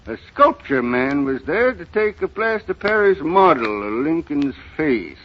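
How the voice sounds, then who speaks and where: Old country grandfather, acted by a man: rural American, folksy and sorrowful, unhurried halting delivery, vintage radio play, dull recording. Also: medium pitch, close to an everyday voice. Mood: folksy, earnest. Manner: halting, rustic, dramatic storytelling. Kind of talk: 1930s radio drama acting. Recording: muffled, noisy 1930s radio recording.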